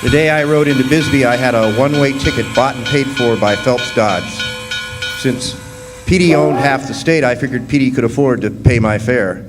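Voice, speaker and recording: An actor playing a plainspoken, folksy young drifter miner of 1917 in a radio drama. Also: male voice, medium pitch, normal speed, over music, lightly acted. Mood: plainspoken reminiscing. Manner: folksy, plainspoken, drawling dropped grammar. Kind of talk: first-person radio drama narration and dialogue. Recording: roomy live hall stage, background hiss.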